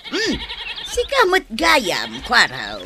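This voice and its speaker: Woman playing a very animated Ilocano village livestock keeper. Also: on the high side, slow pace, noisy background, full character voice. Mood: cheerful. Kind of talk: comedic barangay radio drama acting.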